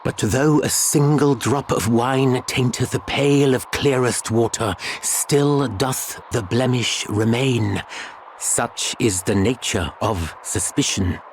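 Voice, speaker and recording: An actor playing a stern, sanctimonious bishop in a video game, speaking in solemn, archaic oratory. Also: male voice, mid-range voice, slow pace, a little hiss or hum, close to an everyday voice. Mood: grave, accusing. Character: stern, sanctimonious bishop presiding over a witch trial. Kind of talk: dramatic video game acting.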